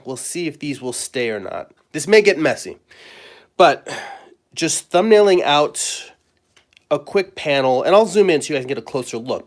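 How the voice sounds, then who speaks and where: A middle-aged man, hoarse and raspy from a cold, clearing his throat as he chats casually with fillers while drawing at his table. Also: mid-range voice. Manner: rambling, friendly, halting with fillers. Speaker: comic artist and live-stream host. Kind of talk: casual live-stream chat. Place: home studio at a drawing table.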